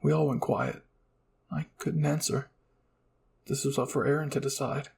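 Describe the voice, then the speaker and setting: A man's voice: medium pitch, fairly even intonation, normal speed, gravelly, darkish tone, slightly rough. A man narrating a scripted first-person roleplay story.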